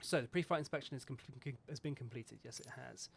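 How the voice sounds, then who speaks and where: Chatty gravelly-voiced man talking flight sim. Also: medium pitch, fast. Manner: chatty, swears, rambling, self-mocking. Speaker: flight sim video host. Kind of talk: casual talk over a flight sim checklist.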